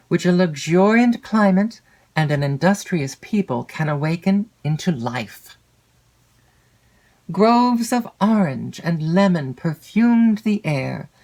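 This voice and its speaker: Woman narrating a classic novel, measured delivery. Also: sits low, darkish tone. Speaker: audiobook narrator.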